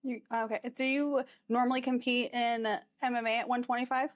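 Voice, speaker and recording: Woman, podcast host, slightly higher pitch, casual interview chat, conversational questions. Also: level tone, moderate pace.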